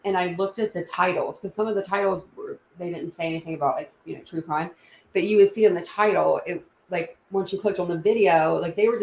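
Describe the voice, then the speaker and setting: A woman's voice: medium pitch, creaky, roomy sound, slight background noise, low-quality recording. A young woman hosting a casual true crime livestream, rambling and chatty with many restarts. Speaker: YouTube true crime channel host.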